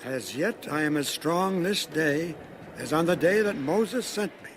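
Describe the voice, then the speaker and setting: An actor playing a steadfast eighty-five-year-old warrior in a solemn, measured dramatized Bible reading. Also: male voice, on the high side, expressive, slight background noise. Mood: resolute. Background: music.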